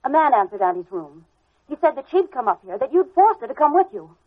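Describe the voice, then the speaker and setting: An actress playing a feisty, headstrong, sharp-tongued lady in a 1940s radio detective drama. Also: high voice, big pitch swings, fairly quick, slightly rough, heavily acted. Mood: worried. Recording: muffled old radio drama recording.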